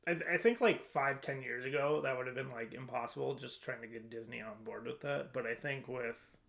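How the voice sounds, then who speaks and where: This is a man with a medium-pitched voice leading a casual podcast discussion. He talks informally, feeling his way through each thought. The delivery is conversational and somewhat hesitant. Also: brisk, gravelly, fairly bright tone. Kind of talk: casual chat.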